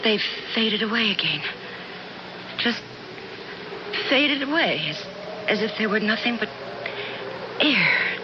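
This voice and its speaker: A woman acting in a 1970s radio drama as a betrayed wife, stunned and bitter, halting and repeating her words. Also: mid-range voice, sing-song. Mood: hushed wonder. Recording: noisy, muffled 1970s radio broadcast.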